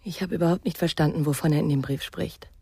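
A blunt sceptical woman in a radio drama, husky voice, dry close sound, pointed questions. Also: low-pitched, fast, dark tone, dry recording. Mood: probing. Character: frank, probing woman, sceptical and reproachful. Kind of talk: 1990s German radio crime drama acting.

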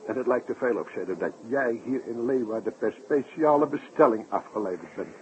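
An actor playing an excitable, worried circus performer in a 1960s radio drama, emphatic and chummy in manner. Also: male voice, medium pitch, fairly quick, close to an everyday voice. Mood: worried, agitated. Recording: muffled, noisy 1960s radio recording.

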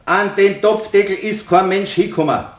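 A middle-aged Bavarian mechanic, played by a man in a radio drama. He is superstitious and stubborn, arguing in an agitated, fairly high voice with noticeable room echo. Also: normal speed, lightly acted. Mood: rattled, accusing. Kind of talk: German radio play acting.

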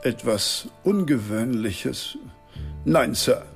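A man's voice: medium pitch, animated, slow pace, vocal fry, soft background music. An actor playing a formal, deferential butler in a German radio drama, stiff and precise in his answers. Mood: formal, dutiful.

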